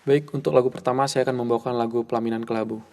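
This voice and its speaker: A man's voice: medium pitch, fairly even intonation, rapid-fire, mellow. A man from West Sumatra formally introducing himself as a singing audition contestant. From West Sumatra, Indonesia.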